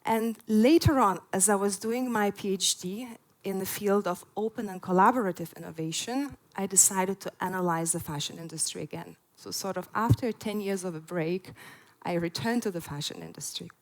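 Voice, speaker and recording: A woman's voice: mid-range voice, moderate pace, low-quality recording. A woman in her forties, originally from Lithuania, giving an earnest prepared talk at a festival with frequent "uh" fillers. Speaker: conference speaker. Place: festival stage before an audience.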